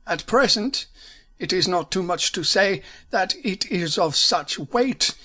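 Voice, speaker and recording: A man's voice: sits high, expressive, slow pace, bright, forceful tone, pitched above the performer's own voice, exaggerated voice. A man voicing a masked Bohemian nobleman who is formal and commanding, with a German accent. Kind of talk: audiobook character voice.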